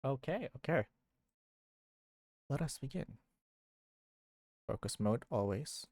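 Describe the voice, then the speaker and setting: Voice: medium pitch, expressive, takes it slowly, a little mellow, no echo, studio quality. A game streamer chatting casually on a livestream, rambling playfully and repeating phrases as if to themselves. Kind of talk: casual livestream chat while gaming.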